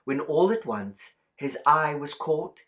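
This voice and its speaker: A man's voice: fairly high pitch, animated, slow, a bit bright. Man narrating an audiobook story expressively. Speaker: audiobook reader.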